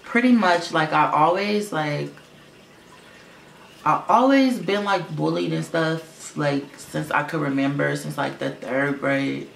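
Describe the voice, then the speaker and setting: A man's voice: takes it slowly, creaky, a bit bright. A young man rambling casually through a storytime vlog, with lots of fillers and swearing; he says his voice sounds like a girl's. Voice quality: voice he calls low for a boy, sounding like a girl's. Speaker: vlogger. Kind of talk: casual storytime monologue to camera. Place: room at home. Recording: roomy echo with faint filter hum. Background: fish tank filter hum.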